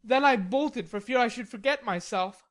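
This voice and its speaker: A woman reading an audiobook, voicing a stubborn, hot-tempered young gentleman in indignant outbursts. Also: fairly high pitch, moderate pace, voice pushed up, close to an everyday voice. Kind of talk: audiobook character voice.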